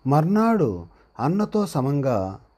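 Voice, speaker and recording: A man narrates a moral tale at a very slow, deliberate pace, pausing between short phrases. His pitch moves in lively swings, and the voice has a dark, slightly husky tone. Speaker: narrator of a handwriting and moral story channel. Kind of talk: slow read-aloud moral storytelling, dictation-like.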